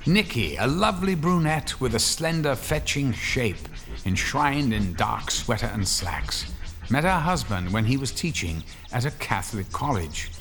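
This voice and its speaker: A man narrating a horror radio drama as a suave storyteller, in a theatrical, measured way with weighty pauses. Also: mid-range voice, big pitch swings, a bit bright, soft background music. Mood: dramatic, wry.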